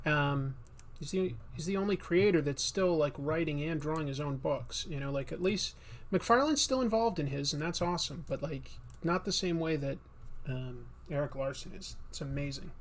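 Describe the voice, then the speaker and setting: A man's voice: on the high side, normal speed, slight background noise. A man hosting a casual comics livestream while he draws, chatty and rambling, with a slightly nasal voice. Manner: rambling, chatty, with many fillers and restarts. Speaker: livestream host and comic artist.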